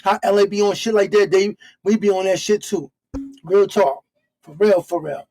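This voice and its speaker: A man's voice: sits high, steady tone, moderate pace, warm tone. A man with a New York accent from Harlem and the Bronx, giving blunt, profane reactions as he chats casually about rap. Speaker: reaction show co-host.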